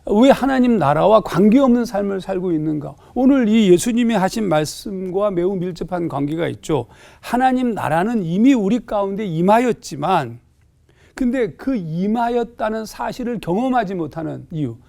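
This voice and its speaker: A man's voice: high-pitched, lively intonation, normal speed, gravelly, warm tone. A man, a pastor on a panel, explaining a scripture passage in a thoughtful way with hesitant fillers in a TV studio.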